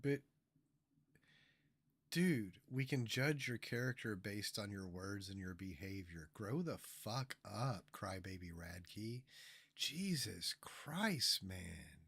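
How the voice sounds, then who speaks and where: A man's voice: on the low side, expressive, takes it slowly, creaky, fairly bright tone, slightly rough, no echo. A male streamer reacting to clips with scornful, heavily profane commentary, mocking the people he watches.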